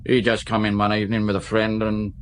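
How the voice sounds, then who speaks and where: An actor playing a defensive nightclub owner in a radio drama, talking in rough everyday grammar. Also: male voice, on the low side, completely flat delivery, fairly quick, a little hiss or hum, natural voice.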